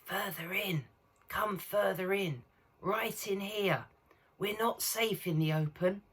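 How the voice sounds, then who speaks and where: A man voicing a wary, secretive beaver in a hoarse, throaty whisper for a storybook reading. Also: high voice, takes it slowly, fairly bright tone, pitched above the performer's own voice, full character voice. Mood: urgent, secretive. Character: wary, secretive male beaver, furtive and friendly.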